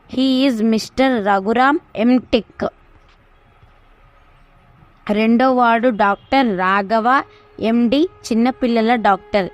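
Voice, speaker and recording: A woman narrating a scripted story, with chatty asides to the listener. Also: medium pitch. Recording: music behind the voice. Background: background music.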